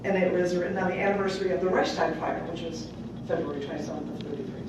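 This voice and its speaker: A woman poet reading her work aloud at a live open mic, with deliberate, dramatic phrasing and casual asides. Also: on the low side. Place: public library room at a live event. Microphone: distant microphone. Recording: echoey room from far off, clearly noisy.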